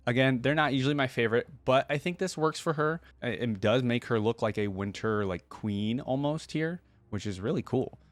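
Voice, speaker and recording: A man giving casual reaction commentary as a video host, talking informally and thinking out loud. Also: mid-range voice, moderate pace, few pauses, no echo.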